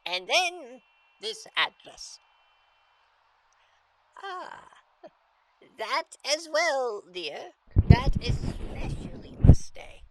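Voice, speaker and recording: Creepy sly old shop lady, talks real slow and swoopy, condescending, horror audio drama. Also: high-pitched, sing-song, fairly bright tone, exaggerated voice, poor audio. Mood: ominous, dismissive. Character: sinister, sly old lady antique shopkeeper.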